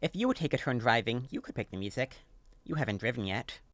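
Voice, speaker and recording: A woman playing a composed young team leader in a student audio drama, measured, peacemaking and faintly condescending. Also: extremely low pitch, expressive, no echo. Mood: mildly scolding.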